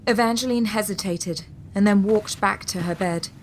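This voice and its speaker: A woman narrating a scripted audio drama in a measured, solemn storytelling manner. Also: medium pitch, a little hiss or hum, rough recording. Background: music.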